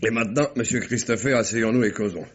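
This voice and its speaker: A man's voice: lowish voice, fast talker, breathy edge. A man playing a shrewd, unflappable police commissioner in a mid-century French radio crime drama, speaking in a dry, terse, colloquial way. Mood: cool interrogation. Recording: dull, muffled old radio recording.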